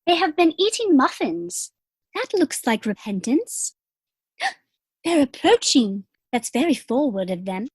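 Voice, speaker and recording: Woman playing a high, lilting, prim young girl. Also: sing-song, takes it slowly, no room sound, heavily acted. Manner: prim, eager. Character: sweet, romantic, prim young girl of good family. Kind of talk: dramatic reading of a period stage comedy.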